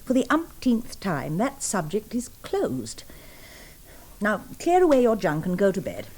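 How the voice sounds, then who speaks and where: Bright, brittle upper-class English mother. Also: mid-range voice, huge pitch swings, unhurried. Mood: exasperated. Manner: arch, dismissive. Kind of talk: radio play acting.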